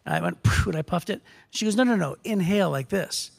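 A man with a raspy voice, a teaching pastor co-hosting a casual devotional podcast, telling stories with jokes. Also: high voice, quick pace, big-room sound. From United States. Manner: storytelling, jokey.

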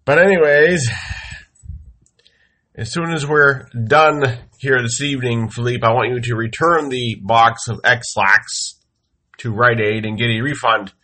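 Slow, wry man grumbling through a cold. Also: medium pitch. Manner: slow, wry deadpan storytelling with exasperated outbursts. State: congested from a head cold. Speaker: podcast host. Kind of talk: comic monologue. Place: home studio.

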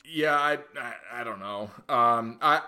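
A man, co-hosting a podcast in casual chat, hesitant and quick to laugh. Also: medium pitch, sharp tone. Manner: hesitant, restarts and laughs often. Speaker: podcast co-host.

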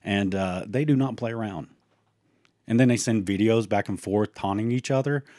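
A man's voice: on the low side, normal speed, warm tone, no room sound, studio quality. A man co-hosting a podcast, chatting casually and rambling with many fillers. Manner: rambling, with frequent fillers and restarts. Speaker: podcast co-host. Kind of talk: casual chat.

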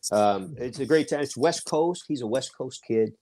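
A middle-aged man talks baseball on a podcast panel, pressing his points with emphasis. He sits in the middle of the male range in pitch. He is from Seattle. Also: lively intonation, moderate pace, mellow, slight background noise. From Seattle, USA. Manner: emphatic, argues a point at length. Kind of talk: sports talk podcast discussion.

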